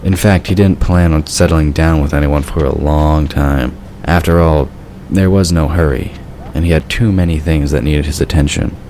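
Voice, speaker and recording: A man's voice: extremely low pitch, fairly even intonation, moderate pace, mellow, a little hiss or hum. A man reading scripted story narration as the narrator of an audio drama.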